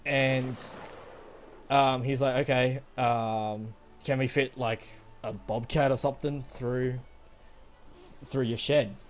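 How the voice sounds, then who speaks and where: A man's voice: medium pitch, fairly even intonation, takes it slowly, pauses often, a little hiss or hum. A young man casually telling a rambling, profanity-laden personal story to friends. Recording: music behind the voice. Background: background music.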